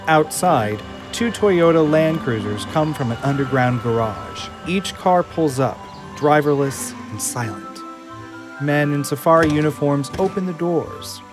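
A man narrating the scene directions of an audio drama in an even, descriptive manner. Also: a little high, measured pace, music in the background. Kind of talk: scripted narration of stage directions.

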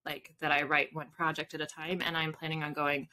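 Woman, 30s, interview guest. Lowish, very bright voice, informal, self-deprecating, slightly noisy video call. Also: flat delivery, fairly quick. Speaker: guest, fiction author and podcaster. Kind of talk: casual interview chat. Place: indoor home room with bookshelves. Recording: video call with background noise, clicks.

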